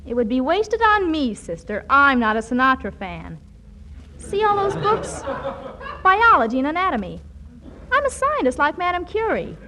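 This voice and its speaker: Bratty brainy girl, high lively voice, blunt, sardonic, bookish wording, vintage radio comedy. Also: normal speed, noisy background. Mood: scornful, knowing. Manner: bookish big words, dry wisecracks. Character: precocious, blunt young girl scientist, worldly and sardonic. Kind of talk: 1940s radio comedy acting.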